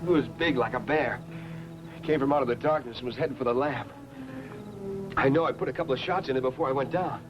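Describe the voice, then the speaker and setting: An actor playing a rugged, practical technician at a remote station in a 1950s science fiction film. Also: male voice, medium pitch, fast talker, pauses often, dull audio, close to an everyday voice. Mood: shaken. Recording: old film soundtrack with music behind.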